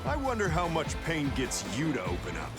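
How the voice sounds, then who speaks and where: A man's voice: fairly high pitch, huge pitch swings, fairly quick, over loud music. An actor playing a cocky, laid-back, flirtatious tough guy in an English game dub, swaggering and taunting in casual slang.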